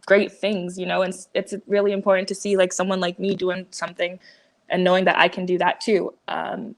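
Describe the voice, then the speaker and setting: Hesitant young-sounding woman, flat delivery. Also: on the low side, brisk. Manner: hesitant and casual, with many fillers like "you know" and "like". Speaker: panel guest. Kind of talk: panel discussion.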